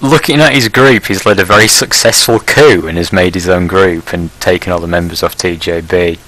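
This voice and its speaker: A man with a very low voice and a bright, forceful edge to it. He chats casually on a podcast and rambles through his points with plenty of fillers and restarts. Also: a little fast, poor audio. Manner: laughs at his own blunt jokes. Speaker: leader of a Prussian group in an online game.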